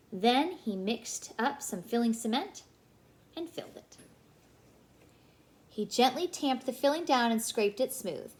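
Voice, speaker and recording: A woman reading a children's story aloud in an expressive, animated way, pausing for emphasis. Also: fairly high pitch.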